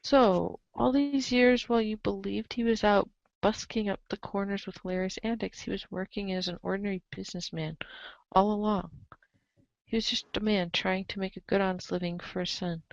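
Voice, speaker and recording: A woman's voice: medium pitch, steady tone, moderate pace, gravelly, dry recording, dull audio. A woman reading scripted narration aloud in a theatrical, wry way, with casual asides.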